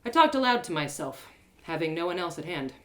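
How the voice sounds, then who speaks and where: A woman narrating her own audio drama with an expressive, animated delivery. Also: fairly low pitch, brisk. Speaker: storyteller.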